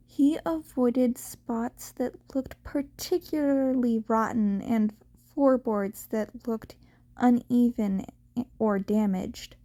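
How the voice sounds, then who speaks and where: A woman reading scripted story narration as an audiobook narrator, slightly halting in her delivery. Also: a little high, dark tone.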